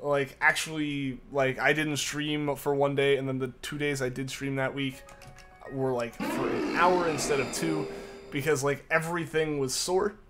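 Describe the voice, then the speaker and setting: Young man chatting casually, slight cough. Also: fairly high pitch. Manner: rambling, filler-heavy, repeats himself. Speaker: streamer. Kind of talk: casual live-stream chat with viewers. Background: music playing underneath.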